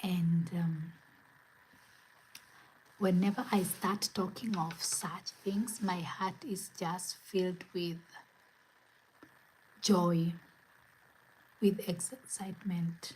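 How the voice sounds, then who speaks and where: A woman from Kenya hosting a spiritual livestream from home, speaking in gentle, repetitive affirmations. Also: lowish voice, fairly even intonation, extremely slow, pauses often, a little hiss or hum, rough recording. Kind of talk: inspirational livestream talk. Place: home, where it is late at night.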